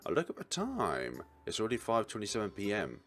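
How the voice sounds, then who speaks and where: A man's voice: lowish voice, wildly swooping pitch, a little fast, soft background music. A man reading a visual novel's story aloud as a let's player. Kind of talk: reading narration and character lines aloud.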